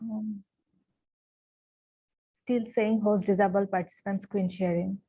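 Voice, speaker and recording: A woman's voice: mid-range voice, steady tone, a little slow, dark tone, low-quality recording. A young woman from Bangladesh, a conference presenter answering politely and briefly on a video call. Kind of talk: casual exchange on a video call.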